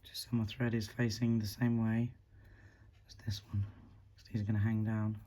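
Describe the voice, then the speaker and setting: Man, husky after the flu, low and mellow, calm craft tutorial, counting beads aloud. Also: moderate pace. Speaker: beading tutorial host. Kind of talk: step-by-step craft instruction.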